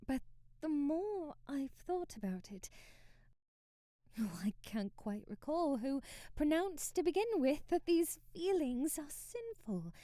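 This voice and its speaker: Woman playing a soft, breathy, shy maid, unhurried. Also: on the high side, no echo, studio quality. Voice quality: soft, breathy, often dropping to a whisper. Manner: hesitant, earnest, genteel old-fashioned phrasing. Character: shy, flustered, devoted young housemaid in love. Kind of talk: ASMR romantic audio roleplay, period drama. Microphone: close mic, dry.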